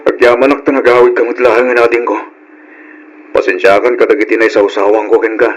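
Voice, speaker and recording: Earnest Ilocano older brother played by a man, mid-pitched. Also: flat delivery, brisk, faint music behind, lightly acted, rough recording. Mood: troubled, apologetic. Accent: Filipino, Ilocano. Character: worried, scolding older brother, earnest and responsible. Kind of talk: Ilocano radio drama acting.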